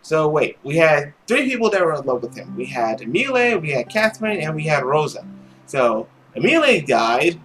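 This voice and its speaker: Male let's player, slightly high-voiced, casual and emphatic, reading story text over clear guitar music. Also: big pitch swings, moderate pace, fairly bright tone. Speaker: let's player narrating a visual novel. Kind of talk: casual gaming commentary and story read-aloud.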